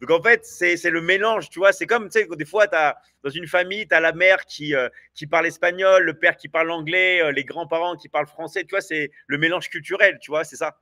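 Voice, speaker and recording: Man, live stream host, eager, filler-heavy, bright tense voice, slightly high, dry close sound. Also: fairly quick, few pauses, dry recording. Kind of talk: live stream sales chat. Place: at home in South America.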